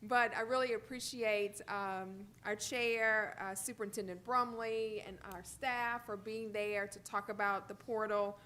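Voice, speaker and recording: Woman, mid-pitched voice, conversational official report, faint noise and rumble behind. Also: fairly even intonation, a little slow, few pauses, sharp tone. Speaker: higher education commissioner giving a report. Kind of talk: prepared but conversational presentation. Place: public board meeting room.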